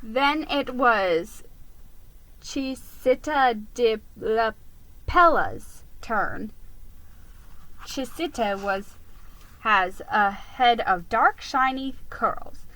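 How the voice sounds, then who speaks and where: Woman reading kids story aloud, very expressive, bright high-ish voice, takes her time. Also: drawn-out words, long pauses, poor audio. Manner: expressive, theatrical. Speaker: narrator.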